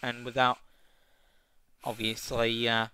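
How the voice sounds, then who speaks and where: Rambling young man reviewing, bright voice. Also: medium pitch, completely flat delivery, slow, smooth. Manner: rambling, hesitant, many fillers and restarts. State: tickly cough. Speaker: wrestling review vlogger. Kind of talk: casual review chat.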